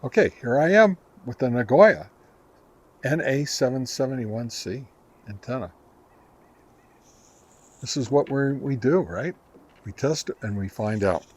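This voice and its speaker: A man presents a hands-on equipment review in a medium-pitched voice. His delivery is casual and folksy. He talks conversationally and rambles a little, like a host explaining results to regular viewers. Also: animated, a little slow, long pauses, a little mellow, breathy edge. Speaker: host reviewing ham radio gear. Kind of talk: casual product test review.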